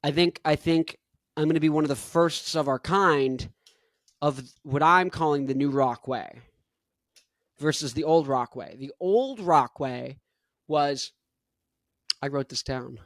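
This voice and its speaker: A young man hosting his own podcast in an energetic, rambling monologue full of "like". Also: a little high, measured pace, long pauses, no room sound, studio quality. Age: young adult. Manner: rambling, energetic, heavy use of "like". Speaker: podcast host.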